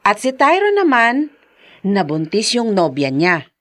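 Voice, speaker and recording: Expressive, concerned gossipy neighbour woman. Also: medium pitch, a little slow. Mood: concerned gossip. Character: Pilar, caring, gossipy, pleading neighbour woman. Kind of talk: Tagalog radio drama acting.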